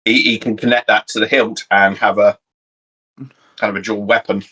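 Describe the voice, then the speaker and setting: A young man co-hosting a casual chat, explaining his picks in a measured, thoughtful way. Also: lowish voice, little pitch movement, bright, forceful tone, husky, low-quality recording. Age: young adult. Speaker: co-host.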